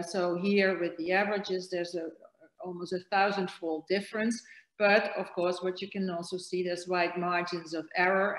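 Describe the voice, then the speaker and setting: A woman's voice: lowish voice, roomy sound, rough recording. A woman in her sixties giving a conference lecture on a webcam, hesitant, with many fillers and restarts. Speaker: keynote speaker on virus transmission. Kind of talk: conference lecture over slides. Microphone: webcam on a video call.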